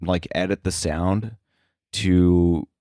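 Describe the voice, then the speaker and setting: A man chatting casually as an interview guest, halting and thinking aloud as he explains. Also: low voice, fairly even intonation, mellow, dry recording, studio quality. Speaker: podcast guest, sound editor.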